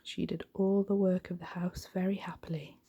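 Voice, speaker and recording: A woman giving a soft-spoken, gentle, hushed ASMR reading of a bedtime story in a breathy, whispery voice. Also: on the low side, level tone, normal speed, highs cut off.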